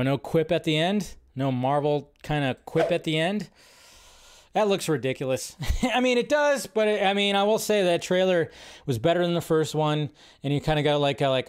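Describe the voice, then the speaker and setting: Sardonic, higher-voiced man reacting off the cuff. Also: clear, resonant tone. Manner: rambling, sardonic, swears casually. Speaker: host of a movie news live show. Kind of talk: casual live trailer reaction commentary.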